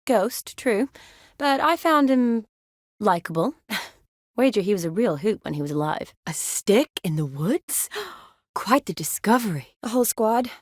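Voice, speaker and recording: Game role: kind young female medic, teasing yet wistful, medium pitch, expressive conversational delivery, dry studio sound. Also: a little slow, no echo. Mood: wry, curious. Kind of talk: video game dialogue acting.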